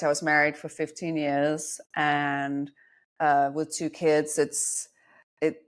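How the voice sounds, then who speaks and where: A woman's voice: low-pitched, fairly bright tone. A woman in her forties from Denmark, a guest on a podcast talking about her life as a dating coach, reflective and self-deprecating. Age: 40s. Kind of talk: podcast interview.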